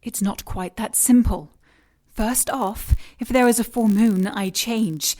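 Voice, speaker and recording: A woman's voice: a little high, slow pace, darkish tone. An actress playing a cold, obsessed female scientist and shapeshifter villain in an audio drama, composed, clinical and condescending.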